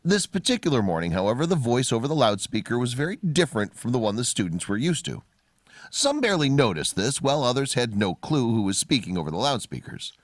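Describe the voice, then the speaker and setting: A man reading scripted narration of a human-interest story in a measured storytelling manner. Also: mid-range voice, very animated, dry recording.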